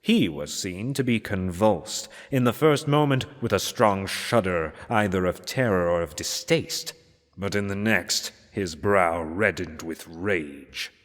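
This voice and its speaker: A man reading a gothic story aloud as narrator, in a solemn, measured and theatrical manner. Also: low voice, animated. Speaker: narrator, reader. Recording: long hall-like reverb.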